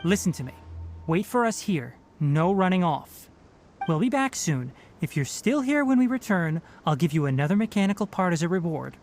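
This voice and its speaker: A man voicing a calm, stern young male forest ranger in a game, speaking in a measured, matter-of-fact, slightly dry way. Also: high voice. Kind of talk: game dialogue voice acting. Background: soft game music.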